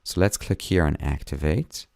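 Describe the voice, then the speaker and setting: A hoarse man walking through a website tutorial step by step in a plain, friendly way, speaking at a desktop microphone. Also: rumbling low, moderate pace, a little mellow, dry recording, studio quality. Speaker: web design tutor. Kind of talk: screen-recorded tutorial narration.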